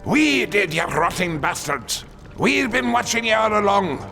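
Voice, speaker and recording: A man's voice: high voice, very animated, a little slow, pushed and bright, faint music behind, heavily acted. An actor voicing a bitter, defiant male dwarf survivor who taunts his foes. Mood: taunting.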